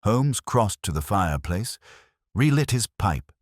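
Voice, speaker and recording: A man narrating a scripted detective story in a measured, dramatic way. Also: lowish voice, sing-song, dry recording, clean studio sound. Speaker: audiobook narrator.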